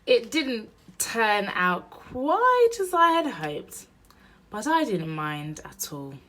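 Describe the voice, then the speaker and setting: A woman reading a children's picture book aloud in an expressive storytelling manner meant for young listeners. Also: fairly high pitch, slow pace. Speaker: storybook reader.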